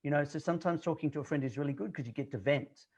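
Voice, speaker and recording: A man's voice: fairly high pitch, racing, darkish tone, husky. An Australian man in his forties, a confidence coach who talks bluntly and directly in a casual interview, with frequent fillers. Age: 40s.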